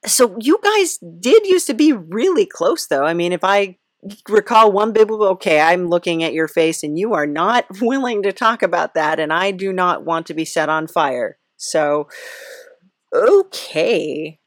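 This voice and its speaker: A woman's voice: lowish voice, animated, unhurried, dry recording, studio quality. A woman hosting a comic interview in a casual, rambling, hesitant way with a wry touch. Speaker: podcast host, interviewer.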